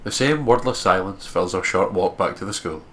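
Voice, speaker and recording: A man's voice: sits low, moderate pace, very noisy. A man with a congested nose narrates a visual novel and adds casual commentary as a co-host. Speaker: let's play co-host reading visual novel aloud.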